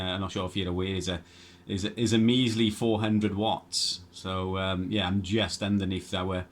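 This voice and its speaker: A man's voice: fairly low pitch, fairly bright tone. A man chatting casually as an amateur radio operator, rambling and hesitant, often repeating himself. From Wales. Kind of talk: casual ham radio chat.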